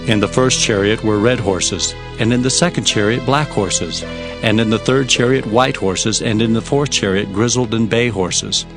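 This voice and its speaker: A man's voice: lowish voice, normal speed, lightly acted. Man playing an earnest prophet over music. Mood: solemn wonder. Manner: solemn, emphatic, declamatory. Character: earnest male Hebrew prophet recounting his visions. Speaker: narrator. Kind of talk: dramatized scripture narration.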